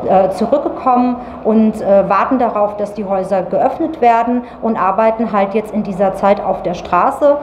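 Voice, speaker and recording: Middle-aged female interviewee, serious statement, average pitch, echoey tiled room with faint noise. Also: moderate pace, few pauses, highs cut off.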